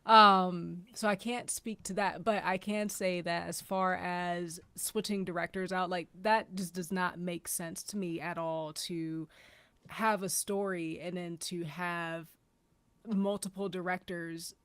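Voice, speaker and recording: A woman with a slightly low voice speaks casually on a panel in a very dry, close sound. She offers her views plainly and in a measured way. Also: fairly even intonation, fairly bright tone, clean tone, no echo.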